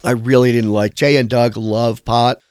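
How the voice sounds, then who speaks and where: A man's voice: medium pitch, fairly even intonation, normal speed, a little mellow, no echo, clean studio sound. A man being interviewed as a musician, telling candid, rambling stories and swearing casually. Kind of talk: candid conversational storytelling.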